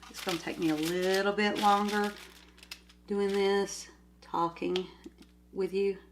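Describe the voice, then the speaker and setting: An older woman talks at an unhurried, drawn-out pace, her voice a little nasal because she is getting over a cold. She rambles cheerfully from one thought to the next while crafting at home, with a faint hiss under her voice. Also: mid-range voice, fairly even intonation. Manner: rambling, chatty, easily sidetracked. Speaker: crafting vlogger. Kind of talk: casual chat while diamond painting.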